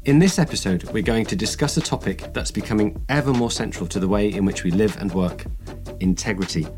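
Low-voiced male podcast host, faint music. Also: a little fast. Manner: polished, read-from-script delivery. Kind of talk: scripted podcast hosting.

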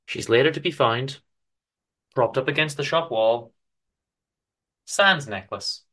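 A man's voice: medium pitch, slow, sharp tone. A man giving a dramatic reading of his own story, with wry, informal asides.

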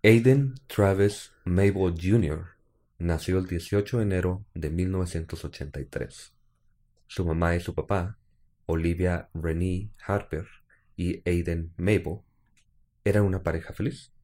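A man's voice: deep voice, a little slow, warm tone. A man with a Mexican accent co-hosting a casual live stream, joking with his partner and then narrating a true-crime case. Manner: joking, laughs often, uses slang. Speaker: podcast co-host.

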